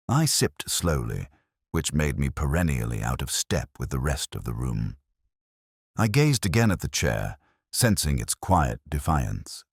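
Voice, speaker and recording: A man narrating a literary detective story in the first person, in a measured, solemn and reflective manner. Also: a bass voice, breathy edge, dry recording, clean studio sound.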